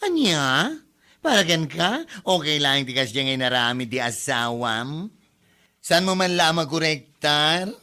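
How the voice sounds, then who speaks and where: A woman acts out a gossipy, indignant Ilocano neighbour in a comedy drama. Her bright, forceful voice leaps around as she scolds and exclaims. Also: low-pitched, big pitch swings, unhurried, exaggerated voice.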